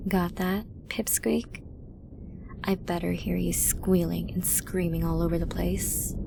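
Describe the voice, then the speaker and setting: A woman's voice: medium pitch, vocal fry, noisy background. A woman playing a flirty, dominant, menacing villain in an ASMR roleplay, teasing breathily and often dropping to a whisper. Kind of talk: ASMR roleplay audio drama.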